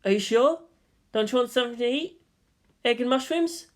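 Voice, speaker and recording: A woman voicing an earnest, odd teenage boy pilot with a dramatic, deliberate manner. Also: on the high side, pitched above the performer's own voice, natural voice. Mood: polite, coaxing.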